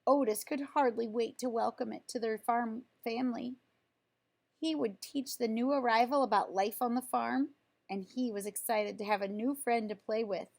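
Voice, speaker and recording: Female storybook reader, higher register, expressive and emphatic delivery for a young audience. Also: normal speed, gravelly. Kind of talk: read-aloud children's story with dramatic emphasis.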